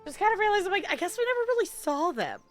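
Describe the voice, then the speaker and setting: A woman's voice: very high voice, big pitch swings, fast, faint music behind. A woman game streamer giving chatty, casual playthrough commentary and reading dialogue replies aloud.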